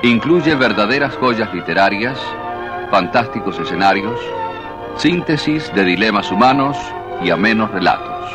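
A man's voice: on the low side, animated, measured pace, music in the background. A man announcing a radio story series in a formal, scripted style.

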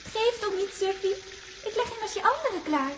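Chirpy, bossy young girl, piping high, playful teasing, faintly noisy sixties radio play. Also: moderate pace. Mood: brisk, bossy. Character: childlike, teasing, bossy young girl Louise who never ages. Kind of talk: 1960s radio drama acting. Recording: old 1960s radio, steady hiss.

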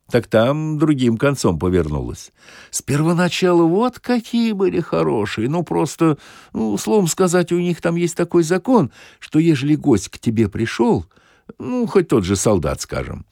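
A man's voice: fairly high pitch, vocal fry, darkish tone, no room sound, voice pushed up, full character voice. A male narrator acts out a shameless, boastful soldier in a satirical audiobook. He talks in folksy, colloquial storytelling with hugely varied intonation, recorded very dry. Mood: admiring. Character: thin, boastful, shameless soldier spinning tales.

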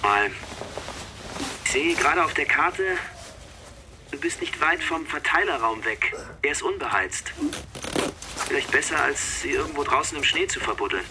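An actor playing a practical, matter-of-fact police dispatcher who guides an officer remotely in a radio crime drama. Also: male voice, fairly high pitch, expressive, normal speed, pauses often, background noise. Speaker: police colleague guiding by radio link. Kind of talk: naturalistic German radio drama acting.

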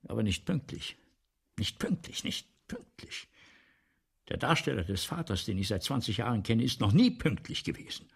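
Gravelly, pompous, animated male playwright. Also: lowish voice, normal speed, exaggerated voice. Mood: fretful, insistent. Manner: pompous, repetitive, insistent. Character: grandiose, anxious playwright boasting of his premiere. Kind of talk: 1970s German radio play acting.

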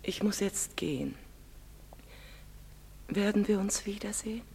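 An actress playing a proud, sorrowful middle-aged foreign mother in a stage drama, speaking in a solemn, measured and emphatic theatrical manner. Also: medium pitch, creaky, darkish tone, slightly rough, full character voice. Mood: wistful, tender. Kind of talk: German stage-drama acting. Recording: long reverberant hall sound.

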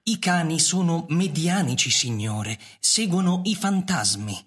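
Give the robot butler an unhurried, slightly husky delivery. It is ancient, loyal and wistful, formal and deferential in a radio play, with music underneath. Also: mid-range voice, expressive, fairly bright tone. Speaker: actor in a radio drama. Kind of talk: Italian radio theatre acting.

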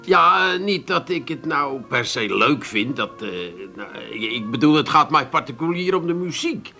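An actor playing a tactless, needling café regular in a Dutch comedy radio play, wry and colloquial. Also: male voice, fairly high pitch, animated, normal speed, vocal fry, sharp tone, full character voice. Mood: tactless, hedging. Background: music.

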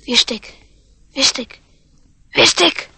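A woman's voice: slow pace, bright, forceful tone. A woman playing an eager, dreamy young boy, inquisitive and pleading, in a radio play. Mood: calling urgently. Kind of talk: radio drama acting.

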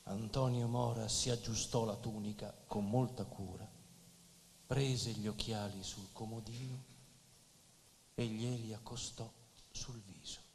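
A creaky-voiced courteous gentleman speaks in a reverberant theatre with some noise behind him. His delivery is measured and solicitous, like literary storytelling from the stage. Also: lowish voice, steady tone. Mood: solemn. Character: courteous male companion to a dying poet, also narrating. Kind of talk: theatrical dialogue and literary narration.

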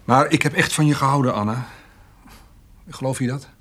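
A radio play character, an earnest villager. His voice is husky, and he speaks haltingly, as if unsure of himself. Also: mid-range voice, a bit bright. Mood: hesitant, earnest. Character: hesitant, earnest village man, former lover. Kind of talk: radio drama acting.

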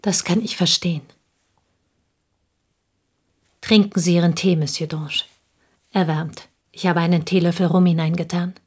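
An actress in a German radio drama playing a reserved village schoolteacher and confidante, speaking in a restrained, measured, factual way. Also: female voice, fairly low pitch, steady tone, darkish tone, breathy edge. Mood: composed, guarded.

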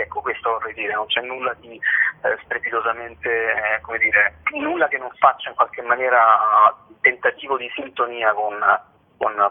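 A man speaks over a thin, phone-quality line in a breathy, slightly rough voice. He answers as an interview guest, informally and haltingly, often repeating words as he searches for the next one. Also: medium pitch. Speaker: interview guest, festival participant and writer. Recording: narrow, muffled telephone line.

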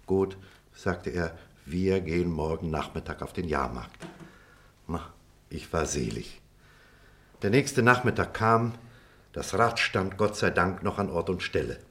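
A low, deep male voice of a middle-aged man looking back on his life. He speaks like a radio-play storyteller, deliberate and expressive, with a reflective temper. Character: reflective middle-aged former pupil telling a childhood memory.

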